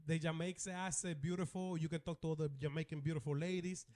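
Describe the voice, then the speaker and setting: A man's voice: a little high, fairly even intonation, fast, darkish tone. A man from the Dominican Republic with a Dominican accent, a comedian guest chatting brashly and profanely, cracking jokes. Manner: brash, profane, jokey, switches into playful voices. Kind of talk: casual podcast chat.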